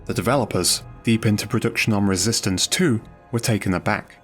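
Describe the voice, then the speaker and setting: A man's voice: on the low side, steady tone, fairly quick. A man reading scripted documentary narration over music.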